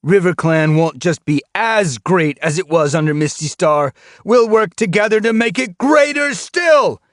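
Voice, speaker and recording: A man voicing a fierce young male cat leader who yells and snarls harsh commands at his warriors in an audiobook. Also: high-pitched, animated, unhurried, dry recording, studio quality, voice pushed up. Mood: rousing.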